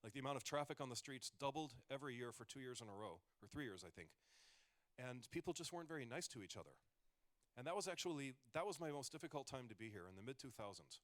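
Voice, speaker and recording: A man's voice: mid-range voice, expressive, fast talker, a bit bright. A man in his forties, a comedian giving a casual talk with joking asides and rambling restarts. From United States. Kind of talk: conversational stage talk.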